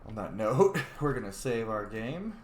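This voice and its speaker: A man's voice: on the low side, sing-song, a little slow, background noise. A man casually narrating his video game playthrough, rambling and thinking aloud as host. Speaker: host, gamer. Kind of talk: casual gaming commentary. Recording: roomy sound, faint game music.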